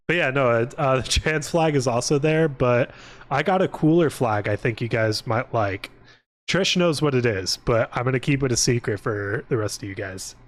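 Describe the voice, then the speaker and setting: Guy streaming, casual rambly chat, swears a lot, keeps interrupting himself, mid pitched voice. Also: moderate pace. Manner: profane, informal, tangent-prone, self-interrupting. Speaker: livestreamer.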